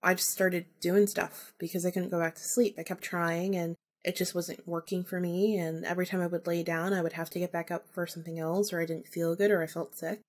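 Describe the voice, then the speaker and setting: A woman's voice: fairly low pitch, little pitch movement, brisk, hardly any pauses. A woman podcast host telling a personal story casually, informally acting out remembered bits of dialogue.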